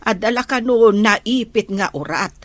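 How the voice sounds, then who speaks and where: A woman's voice: medium pitch, lively intonation, unhurried, fairly bright tone, no echo. A woman with an Ilocano Filipino accent playing a caring, soothing old grandmother in an audio drama. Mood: explaining.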